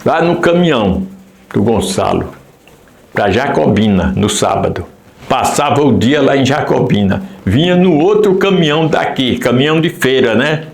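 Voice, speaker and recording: A man, slowly recalling his past in a documentary interview. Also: mid-range voice, lively intonation, vocal fry. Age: 80s. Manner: unhurried, halting recollection. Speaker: former mayor interviewee. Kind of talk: documentary testimony, reminiscing. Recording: echoey room with faint ambience.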